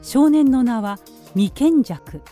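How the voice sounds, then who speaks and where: A woman's voice: high voice, expressive, slow pace, dark tone, faint music behind. A woman reading scripted dramatic narration as the narrator of a radio play.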